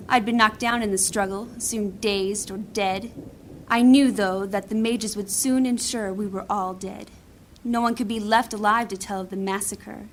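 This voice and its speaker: A woman playing a proud, embittered thief in a fantasy audio drama, speaking formally and gravely as if giving testimony. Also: medium pitch, slight background noise, lightly acted. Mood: bitter grief. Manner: formal, grave, deliberate storytelling.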